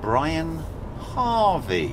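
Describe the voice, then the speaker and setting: A grumpy, downbeat fiancé. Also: male voice, on the high side, wildly swooping pitch, very slow, noisy background, heavily acted. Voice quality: glum, complaining. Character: grumpy, downbeat young man forced to relocate. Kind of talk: radio sitcom acting.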